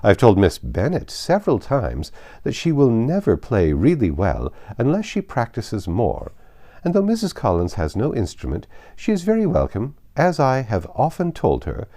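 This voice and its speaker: A man's voice: medium pitch, huge pitch swings, moderate pace, mellow, pitched above the performer's own voice. A man voicing a haughty, overbearing aristocratic lady with an imperious, declamatory delivery in a classic novel reading. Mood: lecturing. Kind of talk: classic novel read aloud.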